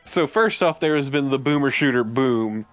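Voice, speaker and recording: A man's voice: mid-range voice, expressive, normal speed, clear, resonant tone, no room sound, soft background music. A man co-commentating on a let's play with casual, teasing banter. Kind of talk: casual banter over gameplay. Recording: faint background noise.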